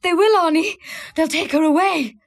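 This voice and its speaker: A woman acting in a drama as a distraught, secretive, obsessive loner, halting and repeating her phrases. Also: extremely high pitch. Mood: pleading.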